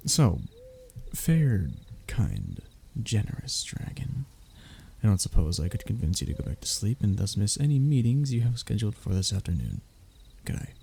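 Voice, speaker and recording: A man's voice: lowish voice, sing-song, normal speed, gravelly, dark tone, slight background noise. A man playing a young royal heir in a roleplay audio drama, formal and pompous in his wording but often faltering into nervous stammers as he addresses the listener. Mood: coaxing, hopeful.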